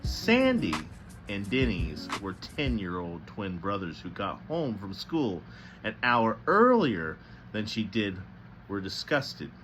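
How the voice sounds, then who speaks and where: A man's voice: fairly low pitch, wildly swooping pitch, slow, fairly bright tone, faint music behind, rough recording. A man hosting a storybook read-aloud, reading with dramatic emphasis and adding casual commentary. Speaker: host reading books aloud.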